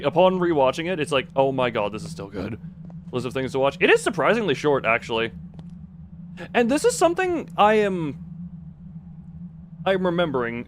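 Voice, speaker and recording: A man's voice: a little high, slight background noise. A man live streaming a game, chatting casually with viewers in a rambling, exclamatory way, often restarting and rephrasing his sentences. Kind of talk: casual gaming commentary and chat with viewers.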